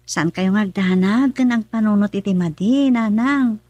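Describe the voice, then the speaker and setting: A woman's voice: mid-range voice, moderate pace, a little mellow, no room sound, close to an everyday voice. An actress playing an anxious, trusting young wife and mother in a radio drama. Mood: defensive, trusting.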